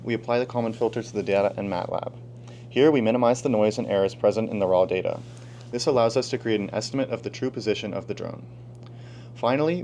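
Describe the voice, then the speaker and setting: A man's voice: on the low side, a little mellow. A teenage boy from Pennsylvania giving a scripted student research presentation. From Pennsylvania, United States. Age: teens. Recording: clear background hum and noise.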